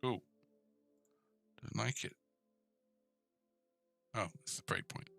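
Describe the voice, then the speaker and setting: Man, coding tutor, very bright sharp voice, slightly creaky, informal, thinking aloud, talking to the viewer. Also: on the low side, slow, dry recording, soft background music. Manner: informal, talks to the viewer, checks with "right?". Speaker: programming tutor.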